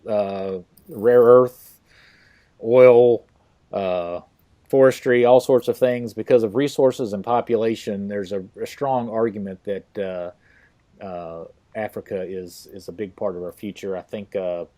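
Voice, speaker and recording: A man hosting a podcast interview, talking casually and haltingly with many fillers and restarts. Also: fairly low pitch, fairly even intonation, warm tone. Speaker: podcast host.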